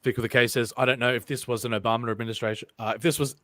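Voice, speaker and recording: A man's voice: medium pitch, steady tone, very fast, pushed and bright, no echo, studio quality. A man hosting a casual live podcast, reading chat comments aloud and rambling through topics with many ums and restarts.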